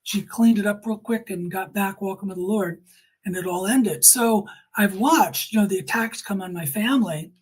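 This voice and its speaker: A woman's voice: medium pitch, moderate pace, husky, poor audio. A middle-aged woman giving earnest, emphatic personal testimony in conversation, quoting people as she tells her story.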